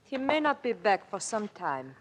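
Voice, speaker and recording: A woman's voice: mid-range voice, big pitch swings, moderate pace, natural voice. An actress playing a cool, guarded young refugee woman with a foreign accent in a 1940s radio crime drama. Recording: old radio recording with faint noise.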